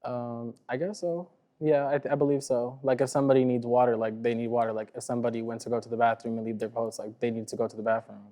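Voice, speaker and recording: A man's voice: medium pitch, level tone, fast, hardly any pauses, mellow. A man in his early twenties talking casually as a talk show guest, often dropping "like" into his sentences. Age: early 20s. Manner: casual, frequent "like" as filler.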